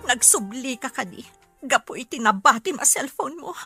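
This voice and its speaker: A woman's voice: sits high, animated, moderate pace, music in the background. A woman playing a betrayed, heartbroken wife in an Ilocano radio drama, accusing and emotional, with a Filipino accent. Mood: hurt, accusing. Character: betrayed, heartbroken young wife confronting her cheating husband.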